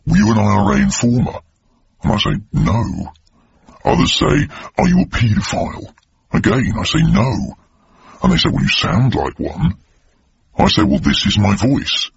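A man's voice: fairly high pitch, vocal fry, breathy edge, muffled recording, exaggerated voice. An actor playing a defensive, exasperated man in a mock documentary, whose odd voice sounds disguised. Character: aggrieved anonymous-sounding man, defensive and exasperated about his voice.

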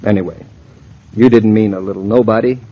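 An actor playing a wry young husband with a dry, bantering, affectionate manner, in 1940s radio drama style. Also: male voice, on the low side, steady tone, fairly quick, close to an everyday voice. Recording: dull lo-fi old radio recording.

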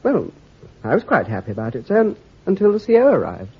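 A man's voice: fairly high pitch, huge pitch swings, quick pace, husky, full character voice. An actor in his twenties playing a bumbling, nervous young army lieutenant who stammers and says sir constantly. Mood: flustered. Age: 20s. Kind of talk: radio drama acting. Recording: dull lo-fi radio recording.

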